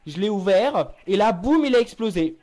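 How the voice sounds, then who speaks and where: A man's voice: high-pitched, expressive, moderate pace, a little mellow, slight background noise. A young man improvising a prank phone call, feigning seriousness in a hesitant but insistent way. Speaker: prank caller.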